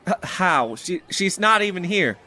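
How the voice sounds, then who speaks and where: Man as a wary, animated boy. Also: high-pitched, a little slow, exaggerated voice. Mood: baffled, challenging. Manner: suspicious, challenging. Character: wary, distrustful boy, protective of his friends. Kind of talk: audio drama acting.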